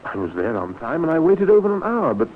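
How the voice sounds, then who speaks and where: A mild, courteous English gentleman in a vintage radio play. His intonation is very varied, hesitant and quietly concerned. The recording is thin and tinny. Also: fairly high pitch, sing-song, fast talker, a little hiss or hum. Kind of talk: 1950s radio drama acting.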